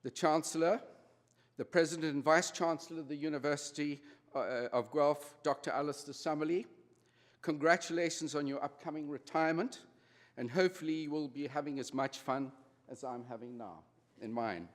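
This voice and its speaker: A man in his sixties with a South African accent, giving a formal convocation address in a measured, slightly nervous way. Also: fairly high pitch. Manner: measured, slightly nervous delivery with small restarts. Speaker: honorary degree recipient giving convocation address. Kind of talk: formal ceremony speech. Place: large ceremony hall. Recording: long hall reverb.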